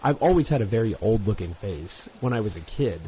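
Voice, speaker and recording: A man in his twenties, co-hosting a casual podcast chat, rambling and animated, full of fillers and restarts. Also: lowish voice, brisk, a little hiss or hum, poor audio. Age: 20s. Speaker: podcast co-host. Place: New York.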